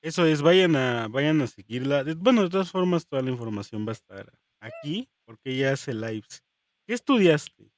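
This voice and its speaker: A man's voice: fairly high pitch, very animated, normal speed, no echo. Man hosting a podcast, dry sound. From Mexico. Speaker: podcast host.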